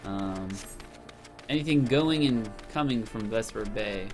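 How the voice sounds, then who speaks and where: A man casually commenting on a game as he plays, thinking aloud with many fillers and pauses. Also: fairly low pitch. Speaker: let's play host. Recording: game music playing behind the voice.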